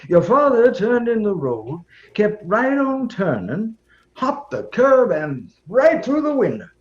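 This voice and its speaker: Slightly dull recording of a folksy shopkeeper in a radio play. He rambles slowly and haltingly, easily tickled, with a highish voice that swings up and down. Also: huge pitch swings, full character voice. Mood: amused, proud. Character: chatty, folksy small-town male shopkeeper, easily tickled. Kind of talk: audio drama acting, storytelling.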